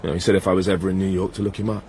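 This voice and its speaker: An actor playing a breezy, slightly defensive radio producer nursing an injury, in a radio drama. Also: male voice, low-pitched, level tone, rapid-fire, darkish tone, a little hiss or hum, lightly acted.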